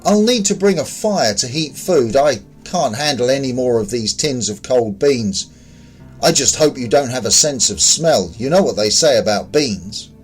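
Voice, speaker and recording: A man's voice: mid-range voice, animated, moderate pace, breathy edge, faint music behind. Man reading as a wry, questioning lone survivor. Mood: joking.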